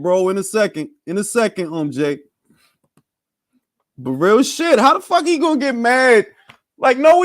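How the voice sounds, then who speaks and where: A man's voice: sits high, no echo, clean studio sound. A young man hosting a casual boxing talk stream, bantering with loose, profane energy. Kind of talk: casual live-stream chat with viewers.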